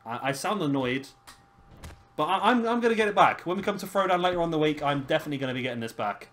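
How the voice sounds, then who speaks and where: A hoarse man, hosting and casually commentating a wrestling video game. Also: on the high side, expressive, fast, a bit bright. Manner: chatty, laughing, swears casually. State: hoarse, lost his voice. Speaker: host of a gaming channel.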